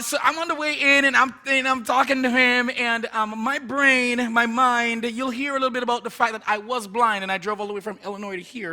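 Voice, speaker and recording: A man with a Jamaican accent gives a guest sermon in a church, talking casually and wandering off into jokes about himself. His voice sits high and rings very bright. He moves around while he preaches. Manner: chatty, rambling, self-joking, moves around while preaching. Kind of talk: informal sermon. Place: church sanctuary.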